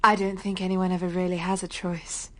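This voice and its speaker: An actress playing a gentle, enigmatic young woman, simple and wistful, in a radio drama. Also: lowish voice, little pitch movement, brisk, natural voice. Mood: resigned, wistful.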